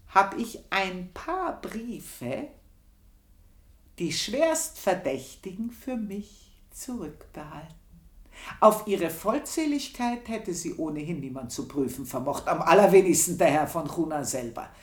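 A woman's voice: lowish voice, exaggerated voice. An older woman playing a proud, bitter, obsessive maidservant confessing in a radio play monologue, brooding and emphatic, sinking into murmurs. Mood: brooding, wistful. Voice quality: husky. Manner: slow, brooding, emphatic, dropping into breathy murmurs.

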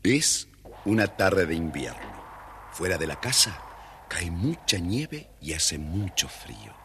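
Deep, expressive male storyteller. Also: takes it slowly. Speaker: storybook narrator. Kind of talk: scripted children's story narration. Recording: faint noise, old children's story record.

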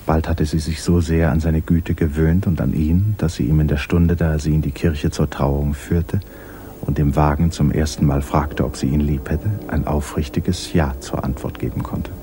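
A man narrating a literary radio drama in a measured, composed storytelling manner. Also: rumbling low, little pitch movement, mellow. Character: calm omniscient male narrator of a literary tale.

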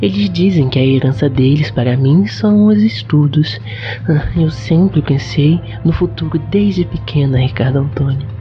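A man's voice: a little high, normal speed, lightly acted. A man plays a shy young Brazilian woman in a romantic radio soap, sweet and coy. The delivery is tender and smitten, on a dull lo-fi recording with faint music underneath. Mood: reflective. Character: shy, sweet young female student in love. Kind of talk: amateur radio soap opera acting.

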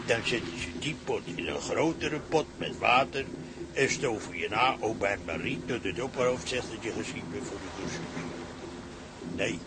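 Give a rich, low-pitched ship's cook, his delivery swinging widely up and down. He spins grim jokes with colourful relish in a radio drama, over noisy ambience. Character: ship's cook, grimly joking about cannibals stewing him. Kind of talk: Dutch radio play acting.

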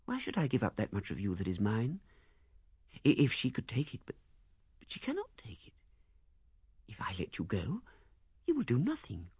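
Man voicing a hushed, heartbroken young woman. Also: mid-range voice, wildly swooping pitch, normal speed, long pauses, slightly rough, dry recording, clean studio sound, exaggerated voice. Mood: pleading. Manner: soft, hushed, half-whispered pleading.

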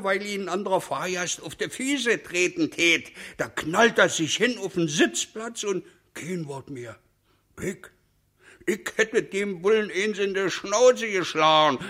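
High-voiced, cocky, coarse bloke. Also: male voice, a bit bright, full character voice. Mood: brash. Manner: brash, colloquial, halting on "I". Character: cocky, coarse, slangy street fellow. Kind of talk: comic radio drama monologue.

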